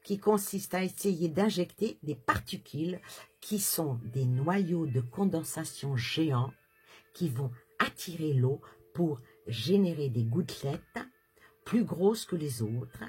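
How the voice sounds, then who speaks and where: Very husky woman reading tarot. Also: low-pitched, animated, slow pace. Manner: chatty and rambling, with frequent hesitations and laughs. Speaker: tarot reader. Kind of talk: casual tarot reading chat.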